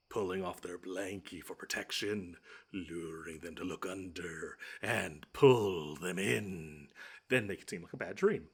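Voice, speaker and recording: A man plays a monster who lurks under a child's bed, in a deep, husky voice. He is grumpy and pompous, choosing fussy words and stretching out his threats. The delivery is a scripted audio roleplay with dry sarcasm. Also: heavily acted. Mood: gloating menace. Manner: pompous, wordy, drawn-out menace with dry sarcasm.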